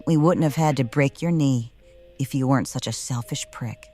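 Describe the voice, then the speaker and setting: An actress playing a smirking, cruel wrestling boss in a noir audio drama, with a taunting, theatrical manner. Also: female voice, rumbling low, normal speed, warm tone. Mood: cold, scornful. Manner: taunting, theatrical, hums while eating.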